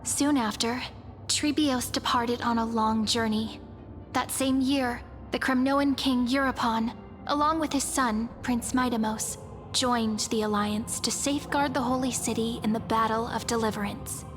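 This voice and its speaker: A composed chronicler, voiced by a woman recounts a legend in formal game narration. Her voice sits a little high and keeps a storybook poise, over clearly audible music. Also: steady tone, measured pace, close to an everyday voice.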